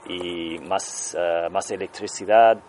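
A man hosting a travel podcast, speaking casual second-language Spanish with many pauses and searches for words. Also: sits low, fairly even intonation, darkish tone, slight background noise. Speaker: podcast host. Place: living in China.

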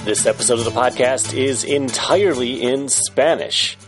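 A man hosting a travel podcast, speaking casual second-language Spanish with many pauses and searches for words. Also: mid-range voice, over music. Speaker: podcast host. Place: living in China.

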